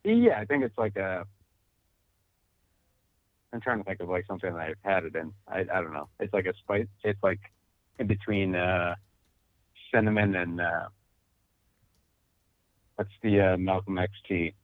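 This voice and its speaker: A man with a lowish voice chats in a relaxed, storytelling way, often quoting what he was thinking. He comes through a thin, phone-like line, and the sound is dry. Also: moderate pace, pauses often, no room sound. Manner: laid-back storytelling, quotes his own thoughts. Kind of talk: casual chat.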